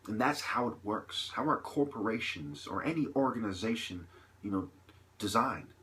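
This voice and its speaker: A man with a fairly low voice speaks off the cuff to his viewers in an impassioned monologue. He builds his points through emphatic repetition and rhetorical questions, often halting between phrases. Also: animated, fairly bright tone, low-quality recording. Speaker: vlogger delivering an opinion monologue.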